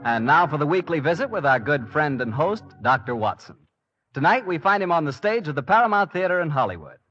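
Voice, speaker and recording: An American man working as a radio announcer and host, delivering introductions and sponsor pitches in a polished, folksy 1940s style. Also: sing-song, fairly quick, pushed and bright. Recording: dull vintage 1940s radio recording.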